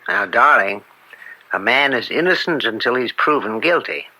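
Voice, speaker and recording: An actor playing an upright, principled judge and father in old-time radio drama, measured and earnest. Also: mid-range voice, animated, bright, forceful tone, poor audio. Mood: patient, fatherly.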